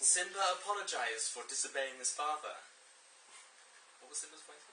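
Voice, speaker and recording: A woman's voice: low voice, lively intonation, normal speed, sharp tone. A woman reading a children's story aloud to friends in a playful, giggly manner. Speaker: storybook reader. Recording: roomy, distant, noisy home recording.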